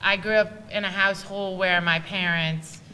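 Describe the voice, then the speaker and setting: Woman in her thirties, bright voice, earnest panelist, faint room noise. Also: mid-range voice, fairly even intonation, normal speed, poor audio. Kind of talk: casual panel talk. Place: university meeting room. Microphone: table microphone.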